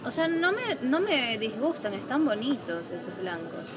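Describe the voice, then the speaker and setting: A woman's voice: a little high, big pitch swings, moderate pace, a crowd in the background. A woman in her late twenties from Venezuela, speaking with a Venezuelan accent in a playful, teasing vlog chat to a handheld camera. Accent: Venezuelan Spanish. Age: late 20s. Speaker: vlogger. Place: shop aisles, then a small living room. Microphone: handheld camera at arm's length.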